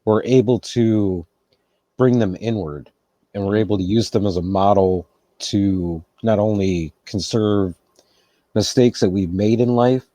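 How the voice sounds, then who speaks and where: A man hosting a casual interview, relaxed and reflective, laughing easily. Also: on the low side, measured pace, no echo. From United States. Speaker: podcast host.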